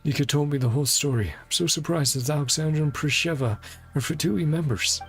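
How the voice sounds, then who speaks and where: A man's voice: fairly high pitch, a little fast, faint music behind, lightly acted. A man voicing a wary, grumbling city guard in a fantasy game, talking in a suspicious, defensive way. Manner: defensive, suspicious, reasoning aloud.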